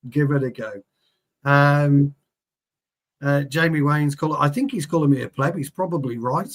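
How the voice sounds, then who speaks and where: A man's voice: a little high, moderate pace. Self-mocking man hosting football chat. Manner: self-mocking, wraps up segments. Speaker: podcast host. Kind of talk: casual chat.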